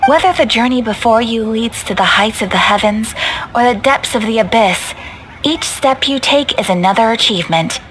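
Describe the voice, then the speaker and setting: A woman's voice: on the high side, moderate pace, background noise, natural voice. A woman playing a composed, courteous young guild receptionist in a video game, speaking in polite, formal phrases. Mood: gracious. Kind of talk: video game character acting.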